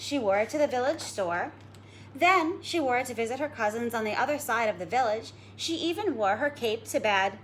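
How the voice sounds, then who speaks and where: A woman schoolteacher giving a read-aloud lesson for children, explaining simply and deliberately. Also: fairly high pitch, a little hiss or hum. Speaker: elementary school teacher.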